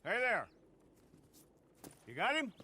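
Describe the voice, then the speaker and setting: Stern game lawman, high forceful voice, slow emphatic delivery, faint noise. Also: huge pitch swings, full character voice. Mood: gruff satisfaction. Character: stern, satisfied western lawman paying bounties. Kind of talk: video game cutscene acting.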